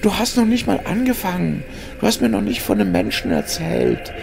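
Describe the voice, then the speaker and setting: A man voicing a bloated, spiteful, foul-mouthed woman creature with a croaking, shrill voice in an audio story. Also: wildly swooping pitch, fairly quick, music in the background, heavily acted. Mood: demanding. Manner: jeering, cursing.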